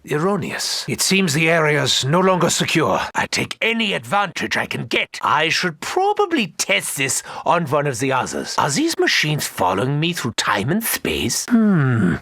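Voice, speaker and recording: A man's voice: mid-range voice, pushed and bright, husky, no echo, studio quality, full character voice. A male actor playing a sinister, scheming German doctor in a video game, with precise, formal and theatrical delivery. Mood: scheming, curious.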